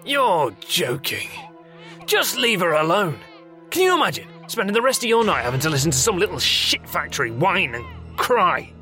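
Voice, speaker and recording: A man's voice: high-pitched, very animated, normal speed, bright, forceful tone, faint music behind, heavily acted. A man with a British accent playing a crude, sarcastic, cowardly hotel guest in an audio drama. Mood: sneering, irritated. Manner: sneering, sarcastic, swearing.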